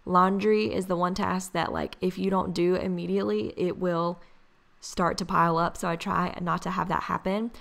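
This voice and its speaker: A young woman with a raspy, scratchy voice from a cold, chatting casually as she narrates her cleaning tasks step by step. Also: fairly low pitch, fairly even intonation, moderate pace, a bit bright. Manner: chatty, explains each task step by step. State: hoarse from a cold. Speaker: cleaning vlogger.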